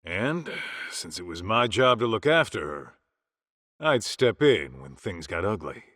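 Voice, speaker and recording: An actor playing a gruff, loyal yakuza bodyguard turned detective, blunt and streetwise, in a video game cutscene. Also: male voice, on the low side, sing-song, measured pace, fairly bright tone, no echo, clean studio sound, exaggerated voice. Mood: reminiscing.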